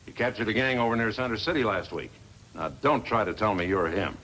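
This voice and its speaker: An actor playing a plain-spoken, wary frontier blacksmith in a 1950s radio western, with a folksy manner. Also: male voice, fairly low pitch, expressive, brisk, a bit bright. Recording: noisy vintage 1950s radio recording.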